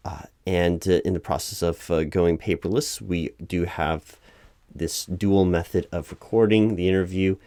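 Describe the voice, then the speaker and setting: A man with a low voice plays an officious recruiting manager in a spoken-aloud ASMR roleplay. He explains things patiently but haltingly, as if improvising the interview. The sound is dry and close, with very little room in it. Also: warm tone, dry recording. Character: flustered, officious male recruiting manager at a company. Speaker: job interviewer in a one-sided roleplay.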